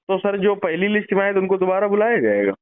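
A man calls with polite questions in a high, lively voice. He sounds deferential and inquiring. The phone recording is narrow and dull. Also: fast talker, slight background noise. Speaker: phone caller making an enquiry.

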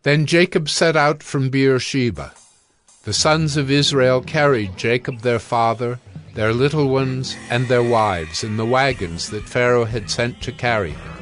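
A man with a medium-pitched voice narrates scripture. He reads in a measured, formal manner with light music underneath. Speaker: Bible narrator. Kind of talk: dramatized scripture reading.

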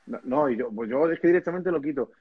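A man's voice: a little high, fast, very noisy. A man, co-hosting a casual live stream from home and teasing his friend in a mock-serious way while reading viewer comments aloud. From Madrid, Spain. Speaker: percussionist, live stream co-host. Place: indoors, small room at home. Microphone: phone or laptop camera, video call. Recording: slightly dull, lo-fi stream audio.

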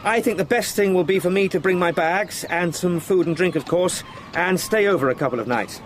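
Man playing an eager, high-voiced young English gentleman. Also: fairly quick, natural voice. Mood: brisk, courteous. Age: young adult. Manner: formal, polite, hesitant asides. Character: eager, talkative, polite young English solicitor. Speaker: radio drama actor. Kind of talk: radio drama acting. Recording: noisy outdoor sound-effect background.

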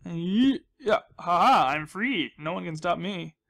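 Male gaming host, slightly high register. Chatty, jokey, self-deprecating, loose off-the-cuff play commentary. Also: animated, unhurried, gravelly, sharp tone. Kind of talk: casual rambling gameplay commentary.

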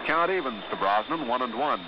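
A man's voice: mid-range voice, expressive, brisk. An American man calling baseball play-by-play in a 1950s radio commentary style. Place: ballpark broadcast booth. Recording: noisy, dull 1950s radio broadcast.

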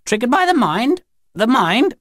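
Male reader as inhuman old lady, raised pitch, imperious, stilted odd phrasing, audiobook character, close dry sound. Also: big pitch swings, a little slow, a bit bright, dry recording, heavily acted. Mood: puzzled. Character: alien machine posing as stern old lady.

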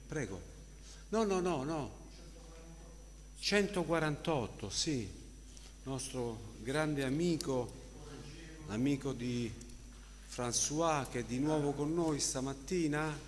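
A man's voice: medium pitch, expressive, slow pace, slight background noise. An older man leading church worship as pastor, speaking in short, devout exclamations of praise and prayer. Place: church hall, at a pulpit. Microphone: gooseneck pulpit microphone. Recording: echoey church hall, congregation murmuring. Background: congregation voices.

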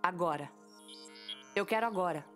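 Actress as assertive, sarcastic space lieutenant, slightly distant. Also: female voice, on the low side, normal speed, natural voice. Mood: commanding, sarcastic. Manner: insistent, commanding, needling. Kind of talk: sci-fi audio drama dialogue. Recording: slightly distant, under loud music.

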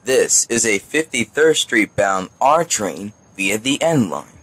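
Guy doing formal subway announcements, husky voice, lots of up and down, very dry sound. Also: lowish voice, animated, slow, fairly bright tone, no echo. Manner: formal, segmented phrasing. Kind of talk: scripted transit announcements.

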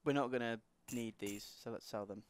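A woman hosting a let's play, chatting casually about the game with wry, deadpan jokes. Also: a bass voice, brisk, smooth. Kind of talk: casual gameplay commentary.

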